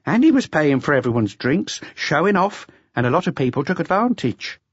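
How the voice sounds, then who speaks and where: A man's voice: fairly high pitch, sing-song, breathy edge, no echo, lo-fi sound, pitched above the performer's own voice. A man reading aloud, voicing a buxom, motherly waitress of about thirty-five who chides and chats. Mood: brisk, chiding. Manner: brisk, fending off, chatty.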